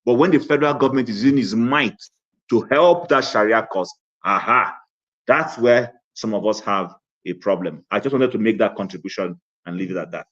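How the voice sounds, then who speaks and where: A man calling in to a broadcast, laying out his view in a measured way with some hesitant fillers. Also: on the low side, fairly bright tone. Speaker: caller. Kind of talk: call-in contribution. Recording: lo-fi online call audio.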